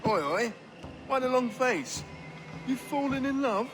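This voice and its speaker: Cheeky, lecherous pirate sidekick. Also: male voice, extremely high pitch, lively intonation, measured pace. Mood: teasing. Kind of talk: video game cutscene acting. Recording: music behind the voice.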